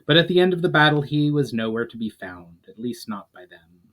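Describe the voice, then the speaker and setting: A man narrating a fantasy story as its author, in a measured, formal storytelling manner. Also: on the high side, animated, a bit bright. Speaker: author reading own book. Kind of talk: dramatic audiobook-style narration of fantasy fiction.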